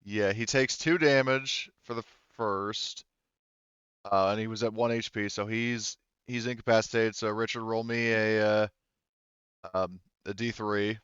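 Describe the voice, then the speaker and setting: A man runs a tabletop roleplaying game in a smooth, clean voice. He narrates and rules casually, joking and swearing freely. The sound is close and dry but somewhat muffled. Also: fairly low pitch, steady tone, a little slow, fairly bright tone, no echo. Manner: profane and jokey. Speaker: game master.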